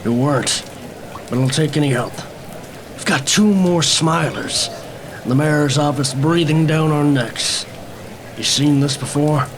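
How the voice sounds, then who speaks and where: A man's voice: on the high side, unhurried, pauses often, background noise, lightly acted. A man voicing a harried police captain in a noir radio play, grudging and curt. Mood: weary, grudging.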